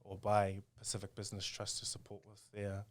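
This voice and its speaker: Male interview guest, New Zealand accent, lower-pitched, casual and halting delivery. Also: monotone. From South Auckland, New Zealand. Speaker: podcast guest, design studio co-founder.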